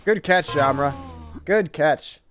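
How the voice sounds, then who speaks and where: A man casting a live online game tournament in a casual, rambling way, with many fillers and restarts, his voice a little hoarse from long talking. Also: on the high side, slow pace, background noise. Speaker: tournament caster. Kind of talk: live esports commentary on a stream. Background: game music and gunfire sounds.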